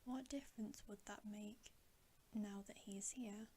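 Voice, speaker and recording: A woman's voice: mid-range voice, steady tone, a little slow, mellow, a little hiss or hum, close to an everyday voice. A woman voicing a wary male dwarf servant, cautious and counselling, in a whispered storybook reading. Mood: doubtful.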